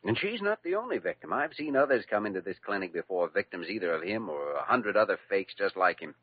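A man's voice: on the low side, quick pace, husky. A man playing an earnest young doctor who teases his older colleague, in a 1940s radio drama. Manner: earnest, teasing, animated. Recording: lo-fi old radio broadcast.

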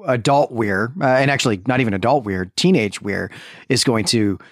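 Male co-host for literary podcast, middle register, analytical and deliberate yet conversational, tight dry sound. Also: mid-range voice, dry recording. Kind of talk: literary discussion, casual chat.

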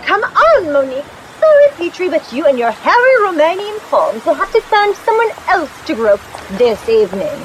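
An actress playing a sharp-tongued, snobbish young socialite with a catty, bossy manner in a horror radio play. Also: female voice, sits high, sing-song, normal speed, few pauses, a little hiss or hum, poor audio. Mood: impatient.

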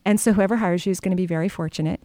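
Chatty middle-aged woman radio host, low voice. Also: rapid-fire, no room sound. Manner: warm, laughing, chatty, storytelling. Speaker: radio show host and energy healer. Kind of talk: call-in radio advice chat.

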